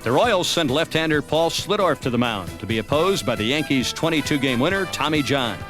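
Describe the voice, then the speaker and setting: An American man reading scripted narration for a sports highlight documentary. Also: sing-song, a little fast, fairly bright tone. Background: music.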